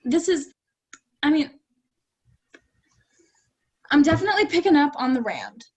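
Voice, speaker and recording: A woman's voice: high voice, very animated, measured pace. A woman playing a blunt, dryly sarcastic critic in a staged play reading. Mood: sardonic, incredulous. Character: blunt, sardonic woman critiquing a would-be writer's work. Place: remote virtual staged reading.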